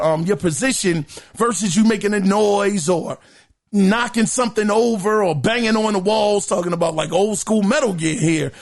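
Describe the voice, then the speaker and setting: Male podcast host with a high, hoarse voice, chatty news rundown. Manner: chatty, addresses listeners as guys, many fillers. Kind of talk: casual news commentary.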